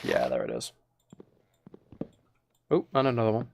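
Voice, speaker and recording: A very tired man chatting casually and quietly on a game livestream, rambling and slightly mumbled in a soft ASMR manner. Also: lowish voice, wildly swooping pitch, normal speed, vocal fry, a little hiss or hum. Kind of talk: casual gaming stream chat, ASMR.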